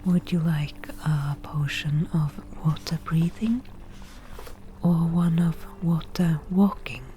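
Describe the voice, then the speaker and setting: A woman playing a wry forest witch who bargains over potions, in a soft breathy whisper with a slow, dryly teasing manner, as ASMR fantasy roleplay. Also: deep voice, steady tone, slight background noise.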